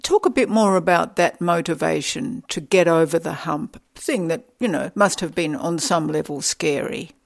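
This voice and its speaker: Low voiced woman asking the questions, easy conversational prompts. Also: normal speed, vocal fry, hardly any pauses. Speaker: interviewer. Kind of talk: interview questions.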